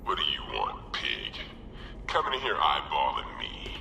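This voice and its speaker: An actor playing a hostile, swaggering thug in a video game, with a belligerent delivery. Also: male voice, rumbling low, huge pitch swings, unhurried, vocal fry, bright, forceful tone, roomy sound, slight background noise, heavily acted. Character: hostile, swaggering male thug prisoner turning villainous. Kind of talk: video game cutscene acting.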